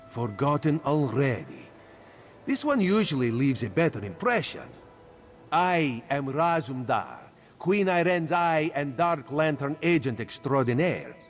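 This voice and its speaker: Playful, secretive cat-man spy for a game, lively sing-song delivery, speaks of himself in third person, faint music behind. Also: mid-range voice. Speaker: spymaster quest giver. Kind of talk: video game character dialogue.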